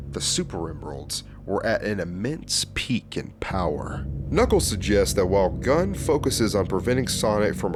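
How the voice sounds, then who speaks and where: A man reading scripted horror narration as a solemn, formal military official giving a report. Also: fairly low pitch.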